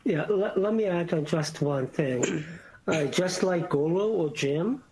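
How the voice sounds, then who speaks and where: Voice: medium pitch, animated, measured pace, darkish tone, husky, low-quality recording. A board member with a Korean accent, a native Korean speaker, arguing earnestly over a video call and often saying "you know". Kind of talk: formal remote meeting discussion.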